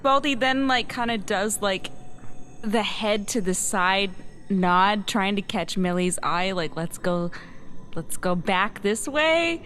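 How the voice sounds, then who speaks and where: A woman playing a tabletop game, narrating her character in a casual, expressive way. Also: medium pitch, takes it slowly, a little hiss or hum.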